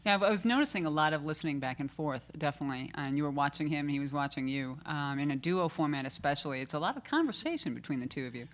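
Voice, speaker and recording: A woman with a lowish voice hosts a relaxed interview in a music studio. She steers the talk with easy questions, filling the pauses with steady uh-huhs and short nods of agreement. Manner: friendly, prompting, frequent uh-huhs. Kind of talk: casual interview chat.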